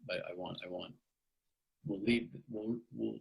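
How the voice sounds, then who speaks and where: A man's voice: fairly low pitch, steady tone, measured pace, gravelly, husky, dull audio. A man hosting a radio talk show, bluntly challenging his guest and often restarting his sentences. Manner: restarts and stumbles over words.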